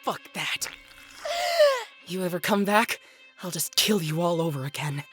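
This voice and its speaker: A woman's voice: on the low side, a little slow, a bit bright, soft background music. A woman playing a bitter, defiant eldest daughter in an audio drama, blunt, sardonic and combative. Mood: desperate, bitter. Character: defiant, bitter firstborn daughter fighting for her siblings.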